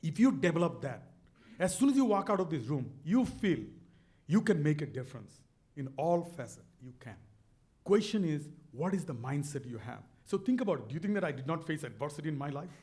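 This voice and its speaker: A man's voice: a little high, big pitch swings, a little fast, darkish tone. A middle-aged man with a Bangladeshi accent, telling a motivational story in an earnest, emphatic way, often repeating words. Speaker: speaker on a stage talk.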